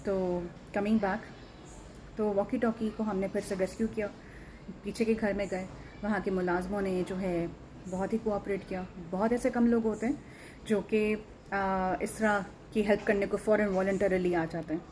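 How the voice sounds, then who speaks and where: A woman's voice: mid-range voice, fairly even intonation, normal speed, a little mellow. A woman with a Pakistani accent talking earnestly and emphatically to viewers in a casual vlog, repeating phrases and mixing in English words. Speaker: animal rescuer and vlogger. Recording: muted tone with background noise. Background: ambient background noise.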